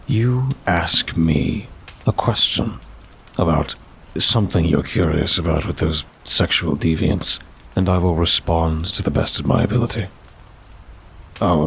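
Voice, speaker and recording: A man playing a weary, sardonic demon in an ASMR roleplay, with a dry, haughty delivery and drawn-out sighs. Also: low voice, gravelly, a little hiss or hum, exaggerated voice. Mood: aloof, reluctant. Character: weary, sardonic male demon, aloof bureaucrat of damnation.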